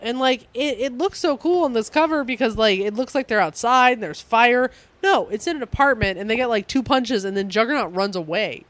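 A woman's voice: lively intonation, fairly quick, few pauses, fairly bright tone, no echo. A congested woman co-hosting a casual comic book podcast, laughing often and swearing casually. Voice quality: low voice for a woman. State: stuffed up, congested. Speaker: podcast co-host.

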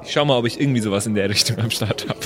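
A man's voice: on the low side, racing, a crowd in the background. A man playing an improvised tabletop role-playing game, chatting casually with somewhat hesitant, tentative phrasing.

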